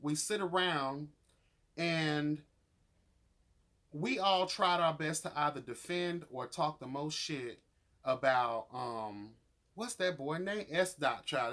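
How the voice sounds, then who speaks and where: Sassy, higher-voiced man giving candid commentary. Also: measured pace, fairly bright tone. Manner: sassy, emphatic, slangy, calling people honey and girl. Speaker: YouTuber reviewing a reality show. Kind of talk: casual, profane commentary.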